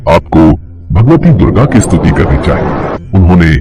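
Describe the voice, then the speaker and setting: A devout heavenly sage in a mythological tale, played by a man. His voice is deep and lively in pitch as he gives formal counsel to the gods. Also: moderate pace, music in the background. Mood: grave advice. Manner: formal, advisory. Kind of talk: Hindi mythological audio story acting.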